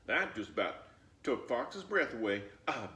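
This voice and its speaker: Slow, creaky man reading a children's story. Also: mid-range voice, sing-song, a bit bright. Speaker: storybook reader. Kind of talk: animated read-aloud for children. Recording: roomy, echoing, distant microphone.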